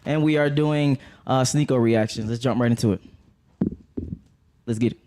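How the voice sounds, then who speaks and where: A young man hosting a casual podcast, loose and joking as he laughs often with the guests around him. His voice sits at an ordinary middle pitch. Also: normal speed, warm tone. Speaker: podcast host.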